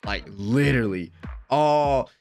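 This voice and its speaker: A man's voice: mid-range voice, expressive, takes it slowly, a little mellow, faint music behind. A young American man giving casual, emphatic reaction commentary full of slang, speaking close to a microphone in a small room at home. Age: young adult. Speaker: anime reaction host. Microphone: desk microphone close up, headset worn.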